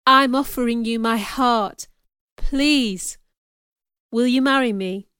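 A woman voicing a commanding, ardent gentleman in a storybook, with an earnest, emphatic delivery. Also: a little high, slow pace, smooth, dry recording, clean studio sound. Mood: ardent pleading. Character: Mister Rochester, commanding, ardent gentleman in love.

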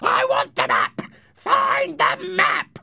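A man voicing a menacing blind old pirate who screams frantic orders in a strained shout in an audiobook. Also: very high voice, wildly swooping pitch, takes it slowly, creaky, no room sound, studio quality, pitched above the performer's own voice, heavily acted. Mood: furious, commanding. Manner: commanding, frantic.

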